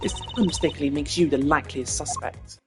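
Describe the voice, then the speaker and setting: A man's voice: on the high side, fairly quick, natural voice. A man doing a haughty female prosecutor. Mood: imperious. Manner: cold, cutting, imperious. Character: haughty young female prosecutor, stern and proud. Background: game music.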